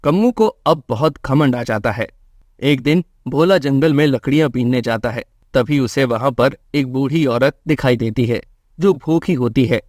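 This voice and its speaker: A man's voice: mid-range voice, moderate pace, darkish tone, dry recording, studio quality. A man narrating a scripted children's moral story as a storyteller.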